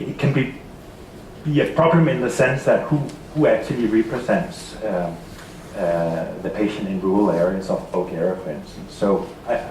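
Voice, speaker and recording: A man with a Danish accent giving a seminar talk, halting and repeating words often. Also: on the low side, a little hiss or hum. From Denmark. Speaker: seminar speaker. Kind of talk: conference presentation. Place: seminar hall. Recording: echoey hall from far off.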